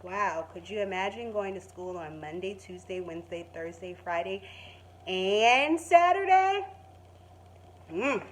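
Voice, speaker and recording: A woman teacher reading a children's story expressively, stretching words for emphasis and pausing to put questions to the listeners. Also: mid-range voice, lively intonation, slight background noise, rough recording. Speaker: primary school teacher reading to pupils. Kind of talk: expressive children's story read-aloud with asides.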